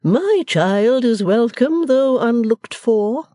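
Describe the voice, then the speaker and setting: A woman's voice: animated, slow, dark tone, no room sound, studio quality. Woman acting a majestic, gloomy disapproving matriarch. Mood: gloomy, ceremonious. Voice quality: deep-toned. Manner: stately, ceremonious, grandly gloomy. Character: solemn, majestic, disapproving middle-aged matriarch.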